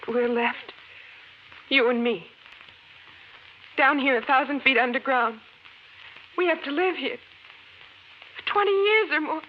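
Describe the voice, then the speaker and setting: An actress playing an anxious, tender young wife in an old-time radio drama, emotional and pleading. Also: female voice, sits high, a little slow, pauses often. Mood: distraught. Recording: muffled, hissy old radio recording.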